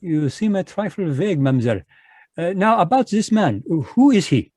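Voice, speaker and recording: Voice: mid-range voice, sing-song, normal speed, darkish tone, dry recording. Performer playing a fussy Belgian French-accented male detective, courtly, hesitant, dry close sound. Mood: probing. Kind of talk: live radio drama reading. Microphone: video call.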